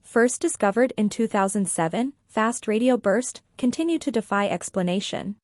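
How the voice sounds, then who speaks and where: A woman's voice: a little high, lively intonation, normal speed, darkish tone, dry recording, clean studio sound. A woman giving a scripted read-aloud of a practice passage.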